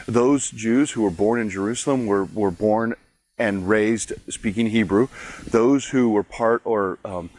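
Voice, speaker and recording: A man's voice: fairly low pitch, a little slow, darkish tone. A man, a pastor, giving a conversational daily devotional, explaining a Bible chapter with frequent restarts.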